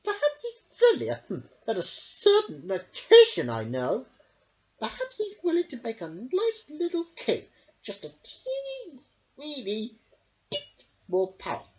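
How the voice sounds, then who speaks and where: A woman's voice: sits high, huge pitch swings, unhurried, darkish tone, voice pushed up, heavily acted. A woman voicing a petulant, bossy, power-hungry king in a storybook, with exaggerated whining. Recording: dull lo-fi home recording.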